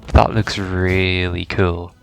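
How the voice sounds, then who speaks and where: Man, low slightly gravelly voice, casual gaming commentary, rambling think-aloud delivery, faint music behind. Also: flat delivery, slow. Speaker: gaming video host. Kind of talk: casual first-reaction game commentary.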